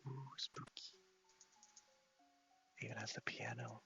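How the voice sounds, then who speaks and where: A man with a low, crackly voice softly narrates a video game in ASMR style, often half-whispering. The sound is a little muffled, with music faintly underneath. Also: big pitch swings, slow pace, vocal fry. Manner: soft-spoken, half-whispered. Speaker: host of a gaming series.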